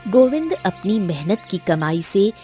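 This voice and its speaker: Female narrator, medium pitch, scripted moral-story storytelling, clear background music. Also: very animated, measured pace, warm tone.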